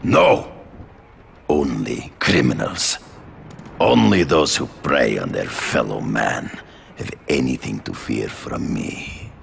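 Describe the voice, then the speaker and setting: Imperious game villain: cavernous, gravel-throated hunter, slow and deliberate, cold and proud. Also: male voice, rumbling low, very animated, a little hiss or hum, full character voice. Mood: menacing. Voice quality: gravelly, growling. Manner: formal, deliberate, theatrical. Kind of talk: video game dramatic acting.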